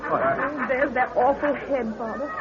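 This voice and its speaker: Anxious high-voiced young radio heroine. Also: female voice, steady tone, a little slow, a loud crowd. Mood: alarmed. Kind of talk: 1930s radio acting. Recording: very noisy, muffled 1930s radio recording.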